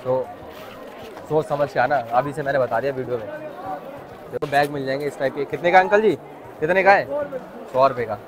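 A man's voice: mid-range voice, brisk, pauses often. Young male vlogger, casual chat to camera, very noisy market crowd around him. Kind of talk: casual vlog chat to viewers. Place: crowded outdoor street market. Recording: loud outdoor market crowd noise. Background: bustling crowd and street sounds.

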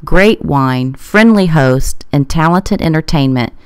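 A woman's voice: sits low, expressive, slow, clear, resonant tone, no room sound. A woman reading scripted promotional narration.

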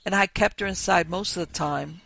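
A man hosting a podcast, reading listener ghost stories aloud in a slow, measured storytelling manner. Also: high voice, creaky, pushed and bright, rough recording. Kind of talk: scripted narration of ghost stories.

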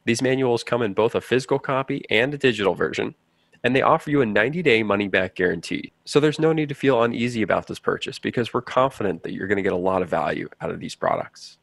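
Male explainer narrator: lowish voice with some fry, scripted delivery, close dry recording. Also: fast, no echo. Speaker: narrator of a flight training promo.

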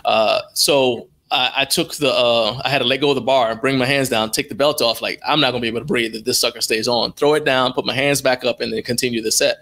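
Middle aged american guy, bright punchy voice, chatting and telling stories on a video call. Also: mid-range voice, fairly even intonation, fast, creaky, hardly any pauses. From born in Liberia, moved to the United States as a child. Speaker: interview guest, strength athlete and live streamer. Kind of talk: casual anecdotal interview talk. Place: indoor room, video call.

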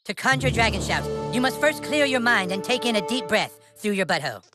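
A man's voice: sits high, animated, fairly quick. An actor voicing a bossy, scheming young boy wizard in a cartoon game, with a nasal, whiny delivery. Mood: pompous. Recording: game audio with music behind.

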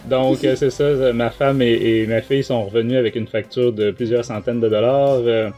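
A middle-aged man with a Québécois French accent, hosting a casual podcast chat in hesitant, halting phrases. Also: mid-range voice, fairly even intonation, warm tone. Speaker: podcast host. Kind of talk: casual talk show chat.

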